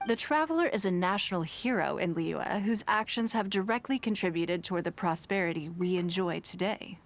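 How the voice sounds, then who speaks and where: A woman voicing a composed, shrewd, wealthy business lady in a video game, speaking in a measured, elegant, formal way. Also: fairly low pitch, animated. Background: background music.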